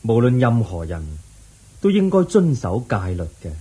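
A man voicing a wise, patient Buddha in a radio drama, explaining teachings calmly in a husky voice. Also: medium pitch, moderate pace. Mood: serene. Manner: calm, patient, instructive. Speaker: narrator and lead actor.

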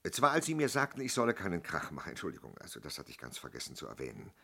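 Older male witness: very low husky voice, swooping melody, polite, halting, explains too much, radio drama. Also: huge pitch swings, pushed and bright, exaggerated voice. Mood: polite, hesitant. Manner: halting, over-explaining, courteous. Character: polite, hesitant older male robbery victim giving a statement. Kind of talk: German radio play acting.

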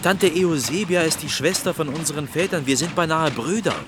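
An actor playing an earnest, persistent village potter, pleading and insistent, in a German radio play with background noise. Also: male voice, fairly high pitch, a little fast, natural voice.

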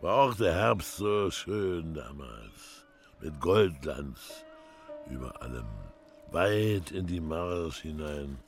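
An actor playing an old villager who tells of dark omens in a rambling, fervent way, in a radio drama. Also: male voice, rumbling low, expressive, takes it slowly, exaggerated voice. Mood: ominous, rambling. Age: older. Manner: rambling, ominous, fervent storytelling. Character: old doom-saying North Frisian villager telling omens. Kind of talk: German radio drama acting. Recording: music behind the voice.

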